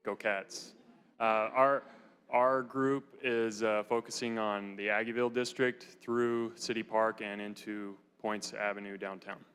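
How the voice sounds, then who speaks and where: A man's voice: fairly low pitch, flat delivery, a little slow, fairly bright tone. A landscape architect, a man, introducing himself and his group to an audience. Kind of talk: self-introduction at a presentation. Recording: reverberant hall.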